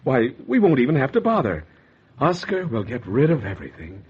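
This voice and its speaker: 1940s radio noir: secretive American husband, stammering and guilty, swinging pitch, dull vintage sound. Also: male voice, wildly swooping pitch, fairly quick. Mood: anxious persuasion. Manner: nervous, hesitant, stammering. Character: anxious guilty husband, secretive murderer, narrating his crime.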